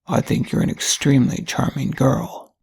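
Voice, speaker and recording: A woman voicing a courteous, wealthy gentleman suitor in a story, polite and formal, with a husky voice. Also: a bass voice, fairly even intonation, moderate pace, heavily acted. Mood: courteous, flattering.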